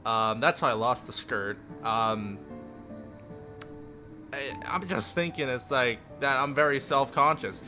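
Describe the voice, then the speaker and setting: A man live streaming a visual novel with casual, animated, self-deprecating and profane commentary. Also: mid-range voice, slow, faint music behind.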